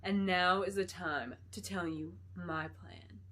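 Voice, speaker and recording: A cruel fantasy villainess, played by a woman reading aloud, bright and forceful in tone. She rages and commands, stretching her words into loud, imperious threats. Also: fairly low pitch, steady tone, heavily acted. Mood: menacing. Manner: loud, drawn-out, commanding shouts and hisses.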